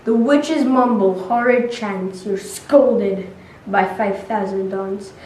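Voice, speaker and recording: A woman's voice: mid-range voice, slow, a little mellow. A school-age girl of about nine or ten performing a dramatic poetry recitation. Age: child, about 9 or 10. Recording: echoey room, distant mic, faint noise.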